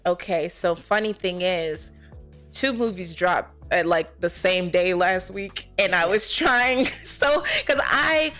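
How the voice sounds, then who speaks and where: Chatty actress over faint music. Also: female voice, fairly low pitch, slow pace, fairly bright tone. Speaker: film actress being interviewed. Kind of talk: casual chat.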